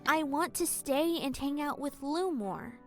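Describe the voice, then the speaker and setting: A woman acting an innocent young shapeshifter in girl form, speaking haltingly in an audio drama. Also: high-pitched. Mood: innocent, curious. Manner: halting, few simple words. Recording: echoey voice under loud music.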